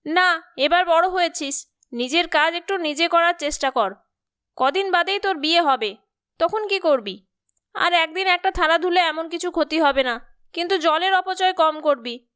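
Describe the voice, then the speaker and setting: A woman's voice: extremely high pitch, moderate pace, fairly bright tone, no room sound, clean studio sound. A woman voicing a nagging, exasperated middle-aged mother in a cartoon, scolding and fretful. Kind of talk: cartoon story acting.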